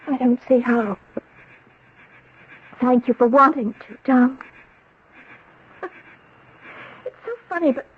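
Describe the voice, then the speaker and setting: A woman's voice: sits high, a little hiss or hum. An actress in a 1970s radio drama playing a grieving, proud young woman with a cutting, emotional delivery. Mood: softening, sad. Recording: thin, phone-like old radio recording.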